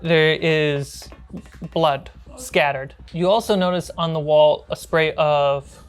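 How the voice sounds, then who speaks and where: A woman's voice: low voice, steady tone, takes it slowly, smooth. A woman narrating a tabletop role-playing game as game master.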